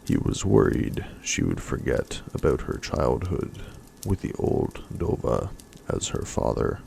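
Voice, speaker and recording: A man reading a story aloud as soft-spoken ASMR, in a breathy, hushed voice that often drops to a whisper. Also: very deep voice, big pitch swings, unhurried, a little hiss or hum.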